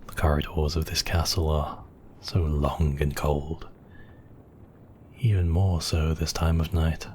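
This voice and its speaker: Casting: a man as an ancient-world vampire count, very low and unhurried, possessive but tender, hushed ASMR murmur. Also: flat delivery, slight background noise. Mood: wistful, lonely. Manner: slow, hushed, intimate murmur with whispery moments. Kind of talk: romantic ASMR roleplay.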